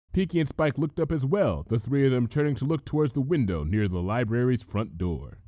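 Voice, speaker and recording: A man's voice: mid-range voice, mellow, no room sound, studio quality. A man reading a story aloud as a scripted storybook narration. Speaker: fan fiction reader.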